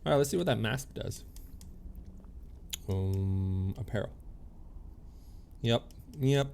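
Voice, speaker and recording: A man's voice: lowish voice, slow pace, gravelly, a little mellow, slight background noise. A man giving casual livestream commentary on a video game, easygoing and chatty as he thinks aloud and reads out on-screen text. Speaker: game streamer.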